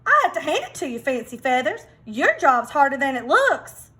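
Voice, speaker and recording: Woman voicing fussy, showy farm hens, very high and bright. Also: sing-song, normal speed, full character voice. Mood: conceding. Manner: exclamatory, theatrical, fussy. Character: indignant, vain female farm hens, gossipy and showy.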